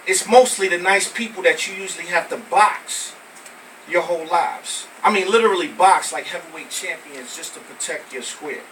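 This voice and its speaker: Bright-voiced American man performing spoken word, distant mic. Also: sits high, moderate pace. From Brooklyn, New York, United States. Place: live poetry venue with small audience. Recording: noisy, distant amateur recording of live venue.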